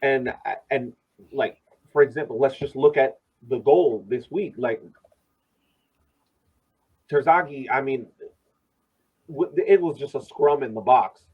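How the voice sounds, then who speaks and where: A man's voice: medium pitch, a little slow, pauses often, slightly rough, dull audio. A man discussing soccer on a casual podcast, speaking emphatically and often repeating words as he goes. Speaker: podcast host and soccer supporter.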